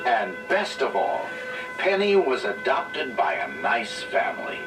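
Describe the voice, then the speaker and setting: A man's voice: medium pitch, very animated, unhurried. A man narrating a children's story in a dramatic, scripted read-along style. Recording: distant old record, loud music.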